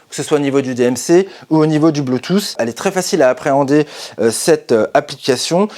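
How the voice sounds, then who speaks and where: A man's voice: mid-range voice, a little mellow. A man presenting a casual product review as the host of a channel.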